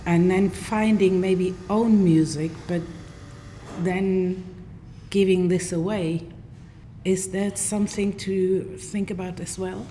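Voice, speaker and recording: Woman interviewer, low-ish voice, very slow pace, faint background noise. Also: steady tone, dark tone. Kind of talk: interview questions.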